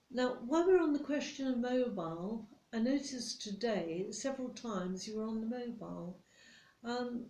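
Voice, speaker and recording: A British woman playing a stern, condescending, nitpicking office boss in a deliberate ASMR roleplay, speaking straight to the listener. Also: medium pitch, warm tone, slight background noise, close to an everyday voice. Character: strict, nitpicking female office boss telling off a new employee. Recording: roomy echo.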